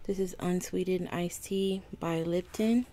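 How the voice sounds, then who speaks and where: A woman hosting a casual home video podcast, chatting to her viewers in a slightly raspy morning voice and apologizing often. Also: on the low side, measured pace, noisy background. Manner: chatty, apologetic, easily excited. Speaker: podcast host. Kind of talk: casual chat to camera. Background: coffee maker running.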